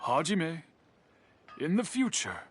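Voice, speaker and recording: An actor voicing an elderly master swordsmith who speaks in a measured, gently scolding way, over game music. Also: male voice, on the high side, big pitch swings, slight background noise. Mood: wise. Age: older. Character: elderly male master swordsmith, patient and stern. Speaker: master craftsman. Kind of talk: video game voice acting.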